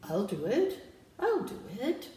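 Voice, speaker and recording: A woman's voice: sits high, animated, moderate pace, pitched above the performer's own voice. A woman voicing an eager, gullible male jellyfish servant who talks earnestly and pleads. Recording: roomy, from far off.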